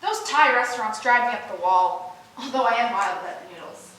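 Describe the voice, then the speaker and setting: A woman's voice: a little high, expressive, brisk, a bit bright. A woman playing a vain, ditzy young actress with bubbly, emphatic chatter in a stage play rehearsal. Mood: emphatic, opinionated. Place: echoey indoor rehearsal room. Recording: very echoey room, distant mic, faint noise.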